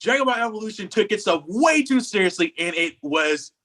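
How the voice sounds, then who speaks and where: A man's voice: high voice, lively intonation, brisk, bright, forceful tone. A man co-hosting a podcast in casual chat, rambling and opinionated, often repeating his phrases. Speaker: podcast co-host.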